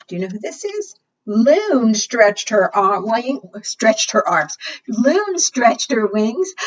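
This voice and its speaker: A woman doing an expressive storytime read-aloud for preschoolers, often putting questions to her young listeners. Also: mid-range voice. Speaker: children's storytime librarian. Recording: distant, dull lo-fi microphone sound.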